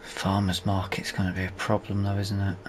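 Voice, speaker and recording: A man's voice: sits low, completely flat delivery, fairly quick, background noise. A man giving casual video game commentary, rambling and thinking aloud as he asks himself questions. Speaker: let's play streamer.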